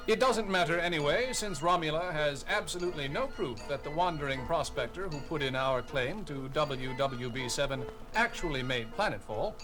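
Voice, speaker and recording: A man's voice: on the high side, animated, normal speed, music in the background, close to an everyday voice. A man playing a haughty, irritable alien envoy in a science fiction audio drama, with a blustering manner. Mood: baffled, dismissive.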